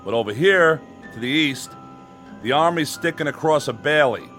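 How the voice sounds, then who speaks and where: A man's voice: a little high, expressive, normal speed, fairly bright tone, soft background music. An actor playing a businesslike army officer briefing his men in a clipped, authoritative radio drama style. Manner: authoritative, clipped, matter-of-fact.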